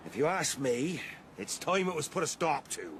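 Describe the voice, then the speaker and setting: A man's voice: on the high side, very animated, normal speed, a bit bright, a little hiss or hum. A man playing a blustering, suspicious farmer with a rural English accent in a radio drama. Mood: grumbling. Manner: rustic, emphatic, grumbling.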